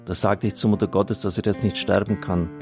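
Low-voiced man over guitar music. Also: flat delivery, fairly quick. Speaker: radio host and priest. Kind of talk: reading out listener testimonials and appealing for donations on air.

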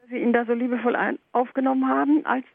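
A female caller with a gravelly edge, heard over a thin, tinny phone line. She talks in a chatty, inquisitive way, pressing with follow-up questions. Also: fairly high pitch, flat delivery, fast talker, no echo. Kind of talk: radio call-in question.

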